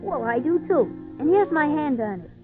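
A woman's voice: high voice, very animated, moderate pace, over music. A woman playing an earnest, polite, folksy little boy in an old-time radio drama. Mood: friendly, wondering. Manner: folksy, wide-eyed, hesitant. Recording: tinny band-limited 1940s radio recording.

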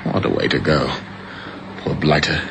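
A nervous stammering sidekick, deep bright voice, light hiss. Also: male voice, fairly even intonation, normal speed, vocal fry, full character voice. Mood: flustered. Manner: flustered, stammering. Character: flustered male companion, chatty and nervous. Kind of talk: 1950s radio drama acting. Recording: faintly noisy old radio recording.

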